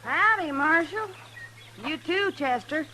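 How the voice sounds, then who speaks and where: An actress playing a weary, plain-spoken mountain wife in a radio Western, with folksy Southern backwoods speech. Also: female voice, high voice, lively intonation, slow, pushed and bright, full character voice. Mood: plaintive, resigned. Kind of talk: old-time radio Western acting. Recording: noisy old radio recording.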